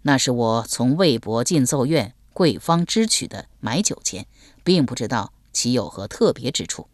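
A woman voicing a mild, weary male swordsman in an audiobook, soft-spoken, calm and resigned. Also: extremely low pitch, moderate pace, no echo, studio quality, voice pushed down. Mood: calm, measured.

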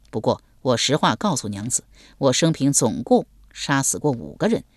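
A woman voicing a mild, weary male swordsman in an audiobook, soft-spoken, calm and resigned. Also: a bass voice, no room sound, studio quality, pitched below the performer's own voice, full character voice.

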